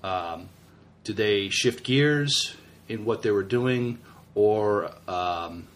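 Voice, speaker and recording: A man co-hosting a podcast, discussing science fiction casually in a halting, tentative way with repeated words. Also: fairly low pitch. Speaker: podcast co-host.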